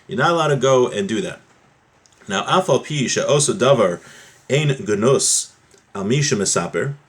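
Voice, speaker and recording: A man teaching an informal religious class, reading out phrases from a text and explaining them step by step, often rephrasing and posing questions that he answers himself. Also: mid-range voice. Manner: explanatory, repeats and rephrases points, asks and answers own questions.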